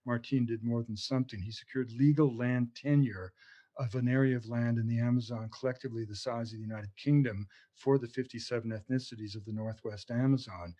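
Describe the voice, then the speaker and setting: A man speaking as an interview guest, with a warm, mellow tone. He talks passionately and wanders into tangents, often restarting his phrases. The audio sounds dull and lo-fi, with the highs cut. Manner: passionate and discursive, with frequent restarts. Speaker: author and anthropologist, interview guest. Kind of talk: conversational interview answers.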